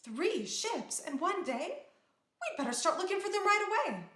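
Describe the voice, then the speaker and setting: Lady doing a stubborn teen princess, high n swoopy, emphatic, storybook read in a roomy echo. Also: sing-song, moderate pace, fairly bright tone, exaggerated voice. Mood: alarmed. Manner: emphatic, indignant, earnest. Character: spirited, stubborn teenage crown princess, eager to lead.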